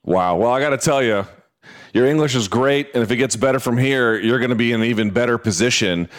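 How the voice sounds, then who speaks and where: A man hosting a podcast interview, conversational and quick to exclaim in amazement. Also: mid-range voice. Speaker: podcast host.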